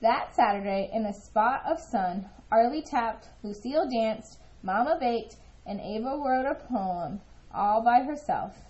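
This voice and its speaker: Expressive woman reading a children's story, lively pitch. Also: unhurried, highs cut off. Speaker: storybook reader. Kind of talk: expressive children's read-aloud narration.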